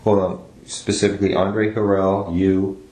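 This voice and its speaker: A man's voice: low voice, flat delivery, unhurried, mellow. An American man questioning someone as a police interrogator, methodically clarifying and steering back to the account. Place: indoor police interview room. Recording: echoey, noisy interrogation room audio.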